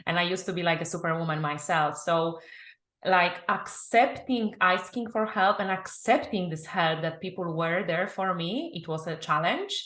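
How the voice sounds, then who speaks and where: A woman with a Polish accent speaks as a guest, sharing her own story. Her voice is slightly low and distinctly bright, with an animated delivery. The sound is roomy and echoing. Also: moderate pace. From Poland. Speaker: guest, confidence and business mentor. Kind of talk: personal storytelling in an interview.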